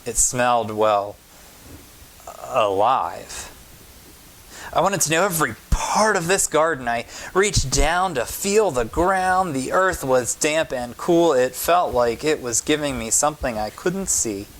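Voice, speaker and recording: A man, a pastor, reading a storybook aloud to children deliberately, pausing between phrases. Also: medium pitch, expressive, a bit bright. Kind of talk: storybook read-aloud with informal remarks to children.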